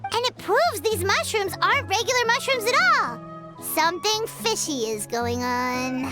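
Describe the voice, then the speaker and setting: Game sidekick: feisty little fairy, squeaky child-high register, animated and cheeky, music underneath. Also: female voice, unhurried. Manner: cheeky, excitable. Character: tiny childlike fairy companion, squeaky, feisty, third-person talker. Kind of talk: video game voice acting.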